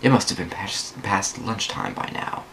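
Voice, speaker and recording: A man's voice: deep voice, expressive, normal speed, gravelly, bright, forceful tone, a little hiss or hum. A man giving a theatrical dramatic reading of a first-person story, drawing out words for emphasis. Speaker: amateur dramatic reader of online fan fiction.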